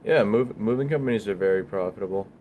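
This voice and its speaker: Low-voiced man chatting casually on a livestream. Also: dark tone, a little hiss or hum. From Bulgaria. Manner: halting, rambling, informal. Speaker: livestream host, small business owner. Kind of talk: casual livestream chat answering viewers.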